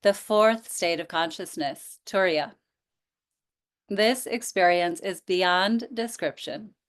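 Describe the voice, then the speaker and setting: Slow, smooth-voiced woman guiding relaxation. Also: on the low side. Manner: gentle, invitational, with pauses. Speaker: yoga nidra teacher. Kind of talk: guided relaxation instruction.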